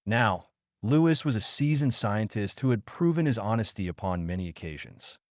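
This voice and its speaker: A man reading a biography aloud as an audiobook narrator, in an expressive, clearly enunciated storytelling manner. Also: lowish voice, normal speed, no echo, studio quality.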